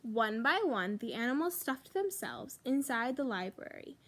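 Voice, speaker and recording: A woman reading a children's picture book aloud as a library storyteller, expressively and with emphatic pauses. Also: on the high side, big pitch swings, a bit bright.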